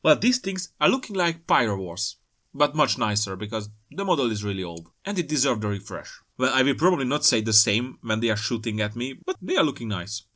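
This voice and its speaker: A man's voice: mid-range voice, big pitch swings, brisk, a bit bright. A man giving casual, playful commentary as the host of a hobby video, with jokey asides. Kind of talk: casual scripted-feel commentary on trailer pictures.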